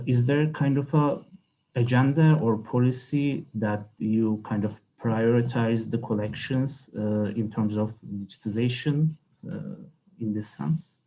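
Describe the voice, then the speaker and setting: A male moderator runs a question session with halting, hesitant phrasing. His voice is fairly low and noticeably husky, with a soft, dark tone. He speaks off the cuff. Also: low-quality recording. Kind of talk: unscripted question-and-answer moderation.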